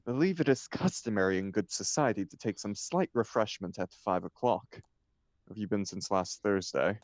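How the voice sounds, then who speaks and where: A man's voice: lowish voice, moderate pace, no room sound, close to an everyday voice. A man reading the part of a flippant, idle upper-class bachelor in a stage comedy, with an arch, mock-serious declamatory manner. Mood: breezy, arch.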